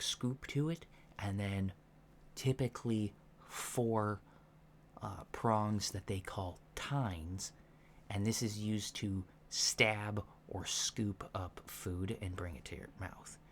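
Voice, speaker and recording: A soft-spoken man giving gentle, measured instructions in an ASMR roleplay, pausing often mid-sentence. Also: lowish voice. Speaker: ASMR roleplay performer playing a training instructor. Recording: lo-fi with faint background noise.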